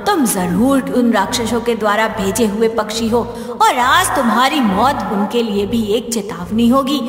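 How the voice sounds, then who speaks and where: A woman voicing a proud, wrathful young serpent woman in a menacing, declamatory way for an animated story. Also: a little high, a little slow, husky. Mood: angry, threatening.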